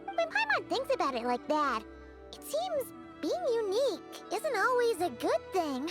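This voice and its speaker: A woman voicing a tiny, excitable childlike fairy companion in a video game, exclaiming and referring to herself in the third person. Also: very high-pitched, a little slow, music in the background, full character voice. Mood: thoughtful. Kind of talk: video game character dialogue.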